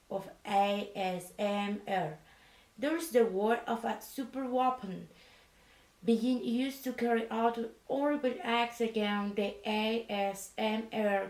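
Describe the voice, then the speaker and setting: A woman playing a halting, soft-spoken resistance leader. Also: mid-range voice, reverberant, distant mic, natural voice, rough recording. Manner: halting, slow, with hesitant fillers and a foreign-sounding phrasing. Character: female resistance leader in pajamas, earnest. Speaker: leader of a sleeper resistance unit. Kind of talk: soft-spoken ASMR roleplay.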